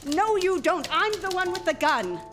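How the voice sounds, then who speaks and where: A woman's voice: very high voice, big pitch swings, moderate pace. Echoey, distant, scheming femme fatale voiced by a woman. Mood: defiant, gloating. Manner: melodramatic, sardonic. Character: scheming, scornful female femme fatale, brilliant but conniving murderous widow. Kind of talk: parody 1940s noir radio acting, alternating narration and dialogue. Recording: echoey live stage, distant mic, music.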